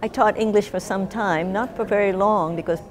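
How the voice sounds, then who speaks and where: An older woman giving casual interview answers in a reflective, gently humorous way. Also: medium pitch, steady tone, normal speed. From Japan. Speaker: guest, artist and writer.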